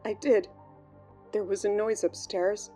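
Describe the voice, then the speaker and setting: Actress as uneasy female witness, mid-pitched. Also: fairly even intonation, a little slow, warm tone, natural voice. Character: worried female bank colleague recalling a troubling friend. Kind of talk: audio drama interview testimony. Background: background music.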